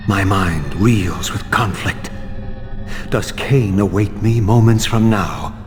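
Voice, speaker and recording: An actor playing a vengeful, brooding undead wraith in a video game, murmuring inner thoughts and snapping back defiantly. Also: male voice, on the low side, takes it slowly, over music. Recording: long hall reverb, game score behind.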